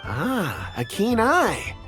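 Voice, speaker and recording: Affable young man, rock expert, lively intonation, courteous, earnest, video game, light music behind. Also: high voice, very slow, full character voice. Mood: enthusiastic. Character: affable, forgetful young male rock expert, politely eager.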